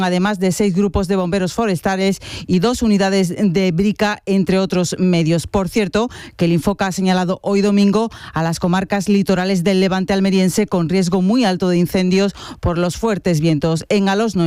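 Male newsreader, formal bulletin style, high crisp voice. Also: no echo, clean studio sound. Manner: steady formal delivery. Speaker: radio newsreader. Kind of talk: hourly news bulletin.

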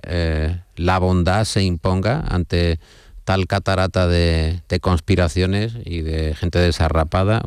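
A man's voice: low voice, clean tone, dry recording, studio quality. A man interviewing a guest on radio, asking long, formal questions with frequent restarts.

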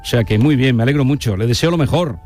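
A man from conducts an informal radio interview. His voice sits at a middling pitch, and he is polite and encouraging, with hesitant phrasing. Faint music plays behind him. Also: fast, darkish tone.

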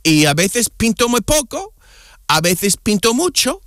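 American man speaking Spanish, high voice. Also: no echo, studio quality. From United States. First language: English. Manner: halting, restarts words, animated pitch swings. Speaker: guest. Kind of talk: casual interview chat.

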